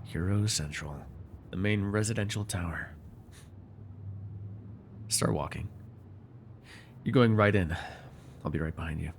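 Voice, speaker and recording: A man acting a menacing, low-voiced supervillain. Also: creaky, noisy background. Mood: controlled, commanding. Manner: taunting, commanding, deliberate. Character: menacing, controlling male supervillain, mocking and vengeful. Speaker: voice actor. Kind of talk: immersive ASMR roleplay acting.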